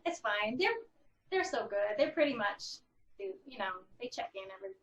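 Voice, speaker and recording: A woman's voice: a little high, very animated, moderate pace, highs cut off. A woman who works as an actor, chatting casually on a remote call from a roomy space. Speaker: actor on a virtual table read. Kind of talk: casual chat. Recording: roomy, distant call microphone.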